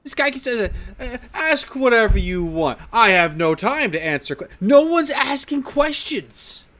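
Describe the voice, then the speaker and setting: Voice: medium pitch, sing-song, measured pace, a bit bright, a little hiss or hum. A game streamer giving casual, blunt and sarcastic commentary, repeating phrases for emphasis.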